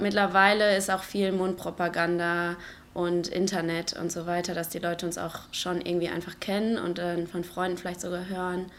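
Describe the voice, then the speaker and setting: A woman in her twenties talks in a loose, chatty way with a slightly low voice. A faint hiss of room ambience sits quietly behind her words. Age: 20s. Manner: casual and chatty. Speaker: law student volunteer. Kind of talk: interview statement.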